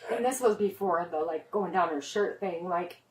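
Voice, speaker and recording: A woman's voice: fairly low pitch, moderate pace. A middle-aged woman, a mother, talking to a police officer in a rambling, earnest and worried way, full of "like" fillers. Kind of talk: spoken statement to an officer. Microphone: police body camera worn by an officer. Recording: roomy, distant body camera audio.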